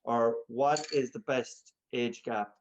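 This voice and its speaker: A man's voice: mid-range voice, steady tone, takes it slowly, slight background noise. A man hosting a casual podcast, asking questions with hesitant restarts. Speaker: podcast host. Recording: dull lo-fi sound.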